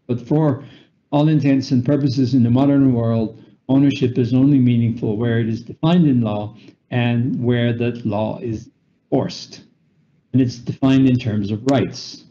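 A man's voice: mid-range voice, warm tone. An older man with a North American accent presenting a webinar lecture over a video call, explaining patiently with fillers and restarts. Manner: explanatory, frequent fillers and self-corrections. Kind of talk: lecture with slides. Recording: slightly dull webinar audio.

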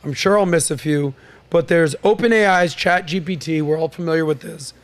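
A man hosts a casual podcast panel in a fairly high voice. He sounds upbeat and jokey and keeps stumbling into fillers and restarts as he runs through the show. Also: brisk. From United States. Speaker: podcast host.

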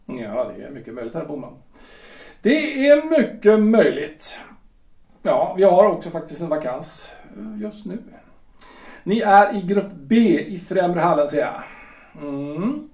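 A man acts out a polished shipyard director in an audio drama, with a fairly high, lively voice. He speaks measured and slow, with hesitant pauses that hint at a threat. The voice sounds set back from the mic in an echoey room. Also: recorded from a distance. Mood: cool, probing. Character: smooth, veiled-threatening male shipyard director. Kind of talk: amateur audio drama acting.

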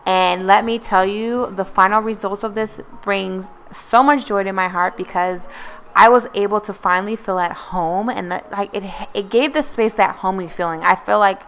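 A woman's voice: medium pitch, normal speed, a bit bright, slight background noise. A young woman vlogger talking casually and encouragingly in a voice-over about cleaning her home, with many fillers. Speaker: lifestyle vlogger.

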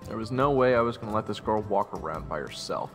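A young fantasy hero acted by a man tells his own story reflectively. His voice is fairly low and creaky yet varied in intonation, over clear music. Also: expressive, fast, fairly bright tone. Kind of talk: first-person storytelling narration.

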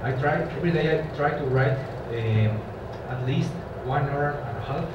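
A man's voice: medium pitch, moderate pace, very noisy. Man with a lilt, far off mic. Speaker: panelist writer. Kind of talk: panel discussion answer. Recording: dull echoey hall recording from far off.

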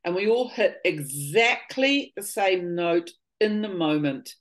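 A woman in her fifties who leads voice-healing workshops talks earnestly on a video call, searching for her words and letting phrases trail off softly. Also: lowish voice, animated, slow pace. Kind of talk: casual spiritual conversation. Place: home living room.

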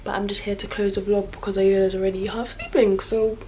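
Young woman, casual vlog chat, medium pitch, indoor room, faint noise. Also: level tone, fast talker, warm tone.

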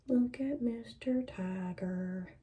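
A woman doing an ASMR roleplay as a clinical examiner, speaking softly, often in whispers, and giving short, playful instructions. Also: a little high, slow pace. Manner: soft-spoken, often dropping into whispers. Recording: dull lo-fi audio with clear background noise.

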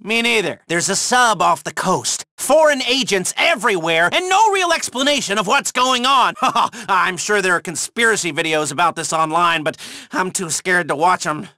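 Jittery high-pitched male heist planner. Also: expressive, normal speed, sharp tone, no echo, studio quality, full character voice. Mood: exasperated, sardonic. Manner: nervous, hesitant, rambling, wry asides. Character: jittery, sardonic criminal heist planner, chatty and exasperated. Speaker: heist coordinator giving mission briefings. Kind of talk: casual, conversational video game dialogue.

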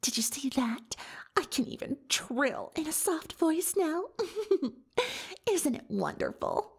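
A woman voicing a boastful, dramatic young female unicorn magician in soft-spoken, often whispered roleplay ASMR, theatrical and self-important. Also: sits high, big pitch swings, takes it slowly, exaggerated voice. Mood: boastful, delighted. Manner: theatrical, self-important, speaks of herself in third person.

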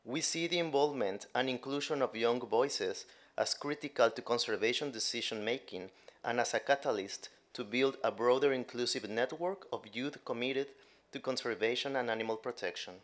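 A man's voice: mid-range voice, normal speed, a bit bright. Man giving a formal welcome speech. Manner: formal, reading a script. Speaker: regional representative, opening speaker. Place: conference room with audience. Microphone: podium mic.

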